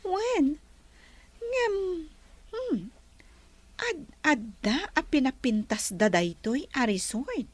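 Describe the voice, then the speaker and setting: An actress playing a bossy, vain rich young madam in a radio drama, with a drawn-out, emphatic delivery. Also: female voice, high-pitched, very animated, dry recording, full character voice. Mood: pleased, chatty. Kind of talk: Ilocano radio drama acting.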